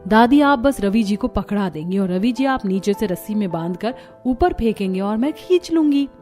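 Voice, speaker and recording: Woman doing a young bahu in a cartoon, cheery n practical, bossing the family briskly, bit of music behind. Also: medium pitch, expressive, darkish tone, lightly acted. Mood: confident. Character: resourceful, cheerful young daughter-in-law leading her family. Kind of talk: Hindi cartoon story dialogue.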